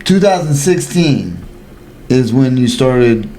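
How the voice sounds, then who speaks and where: American man hosting, medium pitch, slight noise. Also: animated, slow, mellow. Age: 40s. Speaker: podcast host. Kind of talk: casual chat.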